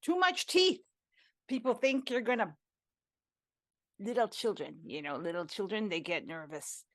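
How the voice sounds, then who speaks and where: Woman doing a bossy scratchy toy bear, bouncy lively voice. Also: mid-range voice, unhurried. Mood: blunt. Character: scratchy old-fashioned bear toy, blunt. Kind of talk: improv comedy scene.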